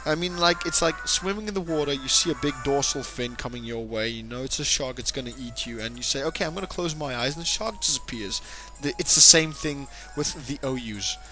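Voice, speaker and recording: A young man commentating a video game battle, excitable and jokey, speaking to his audience as ladies and gentlemen. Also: medium pitch, fairly quick, hardly any pauses. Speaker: gaming commentator. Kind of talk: Let's Play battle commentary. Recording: music playing behind the voice.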